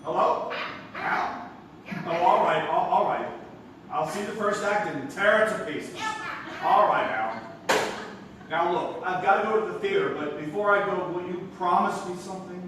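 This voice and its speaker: A high-voiced, flustered young man played by a male stage actor, exasperated and stammering. He speaks emphatically in frantic comedy style, heard from far off in a very echoey hall. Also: moderate pace, close to an everyday voice. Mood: flustered, pleading. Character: flustered, exasperated young theater critic nephew. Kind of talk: theatrical stage comedy acting. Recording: echoey, noisy live theater from far off.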